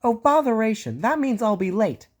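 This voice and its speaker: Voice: mid-range voice, big pitch swings, moderate pace, pitched above the performer's own voice. A storybook reader voicing an impatient, fussy male tank engine who talks in a huffy, flustered way.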